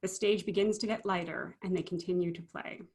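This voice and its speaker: Lower-pitched woman reading stage directions. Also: fairly even intonation, brisk, darkish tone. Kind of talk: scripted narration.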